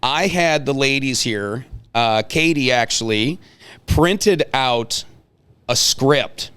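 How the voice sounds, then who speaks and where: A man's voice: mid-range voice, lively intonation, slow, a bit bright, clean tone. A man hosting a casual chat, playfully prompting and hyping up the moment in a mock-dramatic way. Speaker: host.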